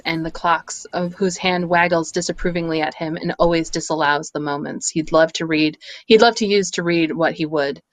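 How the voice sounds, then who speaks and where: Measured woman narrating a radio play. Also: sits low, fairly even intonation, dry recording. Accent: American. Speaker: narrator reading a script. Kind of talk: scripted narration.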